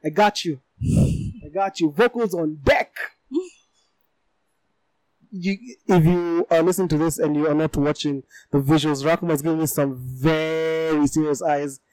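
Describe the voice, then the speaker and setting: A man's voice: a little high, measured pace, no echo, low-quality recording. A man, co-hosting a podcast in casual, jokey chat, often repeating words as he gets going. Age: 20s. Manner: playful, jokey, repeats words when excited. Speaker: podcast co-host. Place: podcast studio.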